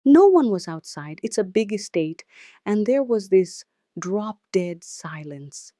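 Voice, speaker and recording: A woman's voice: medium pitch, sing-song, slow, mellow, dry recording, studio quality. A woman with a Nigerian accent telling a dramatic first-person story as its narrator.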